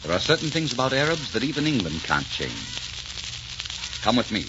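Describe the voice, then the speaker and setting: An actor playing an arrogant, vengeful desert sheik, imperious and romantic, in a 1940s radio romance style. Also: male voice, mid-range voice, very animated, brisk, background noise, close to an everyday voice. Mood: teasing, imperious. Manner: imperious, theatrical, romantic murmuring. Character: arrogant, vengeful desert sheik, commanding and seductive, Oxford-educated. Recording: dull 1940s radio recording, loud organ music.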